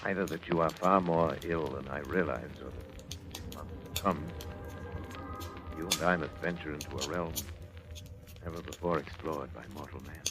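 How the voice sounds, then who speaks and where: A very deep-voiced psychiatrist in a vintage radio thriller, formal and measured. He is cold, controlling and full of ambition. Loud music sits behind the old recording. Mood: grave. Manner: formal, measured, grandiose diction. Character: cold, ambitious, controlling male psychiatrist husband, narrating his story. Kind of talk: 1950s radio suspense acting and first-person narration.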